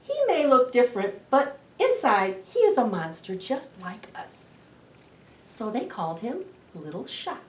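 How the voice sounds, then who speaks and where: Middle aged lady doing kids storytime, high lively voice, talks to little ones expressively. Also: slight background noise. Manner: expressive, deliberate, addressing young children. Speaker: library storytime host. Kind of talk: children's read-aloud storytime. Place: indoor library children's room. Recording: roomy echo, distant camera microphone.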